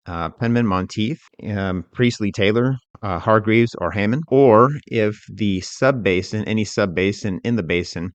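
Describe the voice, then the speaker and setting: A man giving an explanatory software tutorial as an instructor, talking through it step by step in a measured, unscripted way. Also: deep voice, no room sound.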